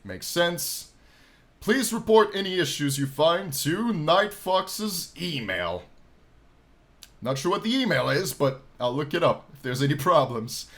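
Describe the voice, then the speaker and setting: A man in his twenties hosting a game playthrough, reading story text aloud with theatrical, drawn-out delivery and casual asides. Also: on the high side, very animated, fairly bright tone. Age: 20s. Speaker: gaming channel host.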